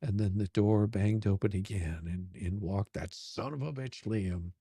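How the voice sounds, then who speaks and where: A man's voice: on the low side, a little slow, dark tone, breathy edge, no room sound, studio quality. A man narrating a steamy romance story as a dramatic reading.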